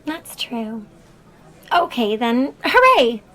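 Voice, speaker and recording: An earnest young woman with a distinctly high voice. She is wary and questioning, speaking in casual audio drama banter. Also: big pitch swings, takes it slowly, bright, forceful tone, full character voice, low-quality recording. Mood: polite, wry. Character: earnest, easily unsettled, hesitant young woman.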